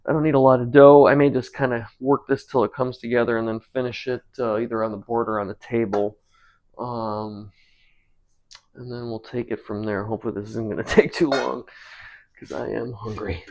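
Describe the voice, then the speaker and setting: A man's voice: mid-range voice, normal speed. A man in his forties from the United States, chatting casually and rambling with many fillers while he cooks on his channel. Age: 40s. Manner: rambling, relaxed, many ums and uhs. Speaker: cooking channel host. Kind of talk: casual talk while cooking.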